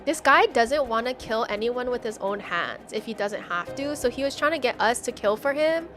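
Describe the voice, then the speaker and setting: A woman doing live game commentary as a streamer, slangy and animated, reading on-screen text aloud. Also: on the high side, normal speed, faint music behind. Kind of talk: live playthrough commentary with dialogue read aloud.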